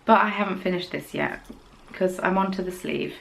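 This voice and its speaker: A woman's voice: on the low side, a little fast, gravelly, poor audio. Chatty woman hosting a podcast. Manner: chatty and rambling, with quiet whispered asides. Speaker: podcast host. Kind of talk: casual chat about knitting.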